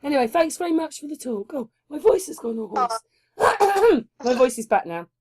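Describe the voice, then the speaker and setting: A woman's voice: sits high, sing-song, moderate pace, darkish tone. A woman hosting a casual group chat, chatty and asking rhetorical questions. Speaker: chat host.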